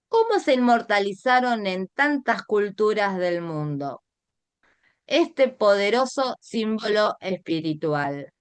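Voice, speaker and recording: Mujer argentina de voz limpia y pausada. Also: female voice, medium pitch, no echo. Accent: Argentine Spanish. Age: middle-aged. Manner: guiding, addresses listeners directly with rhetorical questions. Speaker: art teacher and online workshop host. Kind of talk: introductory online class presentation.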